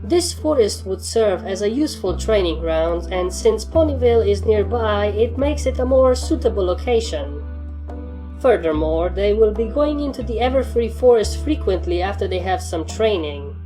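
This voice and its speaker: A woman's voice: medium pitch, animated, moderate pace, warm tone, voice pushed up, lightly acted. A woman voicing a stern, demanding young pony trainer who speaks formally and firmly in a storybook reading. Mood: firm, insistent. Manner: formal, firm, wordy. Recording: music under the voice.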